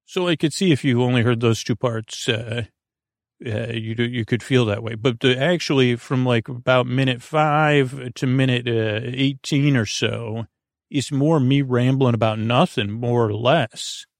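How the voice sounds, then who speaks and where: A man with a creaky voice hosting a bedtime podcast, speaking in a lulling, gentle, meandering way, hesitating and drifting into tangents. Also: mid-range voice, unhurried, dry recording, studio quality. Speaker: host of a bedtime story podcast. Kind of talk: rambling, soothing bedtime monologue.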